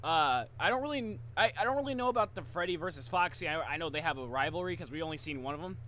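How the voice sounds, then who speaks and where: A man's voice: fairly high pitch, lively intonation, fast, bright, forceful tone. A man hosting a casual livestream reaction, talking informally and often interrupting himself. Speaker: reaction video host, streamer.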